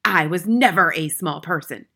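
A huge, tyrannical storybook headmistress, as a woman narrator puts her on. She barks and bellows with sharp, forceful volume. Every phrase is a scornful, bullying command or insult. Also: lowish voice, moderate pace, vocal fry, full character voice. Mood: contemptuous. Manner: barking, bellowing, snorting insults.

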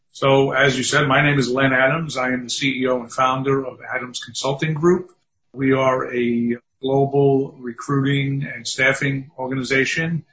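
An older man with a strong New York accent talks in an easy, chatty way, slipping in wry asides about himself. His mid-pitched voice comes through a somewhat muffled, lo-fi remote connection. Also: a bit bright. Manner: chatty, self-deprecating asides. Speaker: guest, recruiting firm founder. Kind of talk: podcast interview. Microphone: remote call. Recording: dull lo-fi remote audio.

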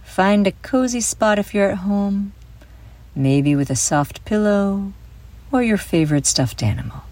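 A woman hosting a guided visualization for children, speaking in a soothing, deliberate way with gentle emphasis. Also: mid-range voice, expressive. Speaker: host and guide of a children's mindfulness audio show. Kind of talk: guided visualization, scripted narration for kids.

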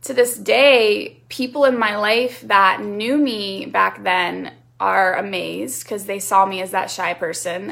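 Earnest woman podcaster with gravelly fry. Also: medium pitch, a bit bright. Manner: earnest, encouraging, repeats phrases for emphasis. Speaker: podcast host and yoga teacher. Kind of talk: reflective solo podcast talk.

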